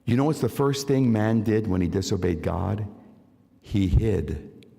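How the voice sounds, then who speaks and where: A man's voice: fairly low pitch, a little slow, vocal fry, warm tone. An older man giving an emphatic evangelistic testimony in a church, repeating key phrases and speaking directly to his listeners. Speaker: concert pianist giving testimony. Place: large church sanctuary. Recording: long hall reverb.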